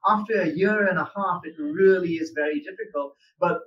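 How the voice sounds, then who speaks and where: High, bright-voiced male interviewer, distant mic. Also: a little fast, lo-fi sound. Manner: conversational, hedging with fillers. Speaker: newspaper editor, interview host. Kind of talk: interview conversation.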